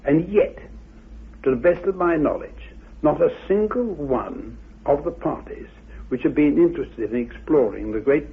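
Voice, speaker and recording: A man with a gravelly, husky voice giving formal, deliberate expert commentary on a dull old radio recording. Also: on the high side. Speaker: commentator, researcher.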